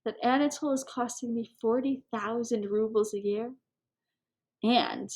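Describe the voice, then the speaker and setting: A woman's voice: a little high, fairly even intonation, unhurried, darkish tone, natural voice. A woman reader voices a cynical aristocratic father from a classic storybook. He is middle-aged and world-weary, with a dry, resigned, courtly way of speaking. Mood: complaining.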